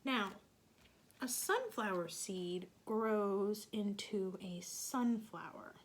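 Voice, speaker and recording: Lively, unhurried woman reading to kids. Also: mid-range voice. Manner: gentle, asks children questions, drops to soft whispers. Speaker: teacher reading aloud. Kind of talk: picture-book read-aloud for children.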